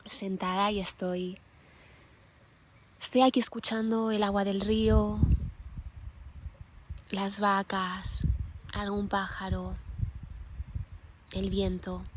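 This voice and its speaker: A young woman hosting a podcast, musing aloud in an unscripted monologue while sitting outdoors in a mountain meadow, thoughtful and unhurried with many pauses and restarts. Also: mid-range voice, flat delivery, a little hiss or hum, low-quality recording. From Catalonia, Spain. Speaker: podcast host.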